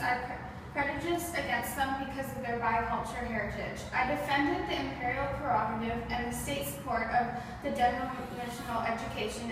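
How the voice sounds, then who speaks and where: A woman reading scripted answers in the role of a historical man, in a plain, steady way. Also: fairly high pitch, natural voice. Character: grown man, a historical Canadian figure. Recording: echoey room, far from the mic, with background noise.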